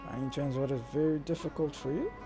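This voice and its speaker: A woman making halting, murmured casual remarks during a video game. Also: sits low, fairly even intonation, music in the background, close to an everyday voice.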